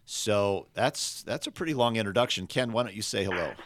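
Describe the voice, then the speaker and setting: A man hosting a podcast, his voice scratchy and gravelly from being sick, talking in a rambling, friendly way with many restarts. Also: lowish voice, animated, a little fast, a bit bright, no echo. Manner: rambling, self-correcting, friendly. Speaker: podcast host.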